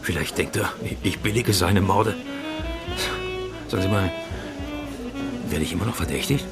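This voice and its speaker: An actor playing a dogged male science journalist in a radio crime play, asking quick, probing questions. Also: sits low. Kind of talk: radio drama acting. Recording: studio radio play with music.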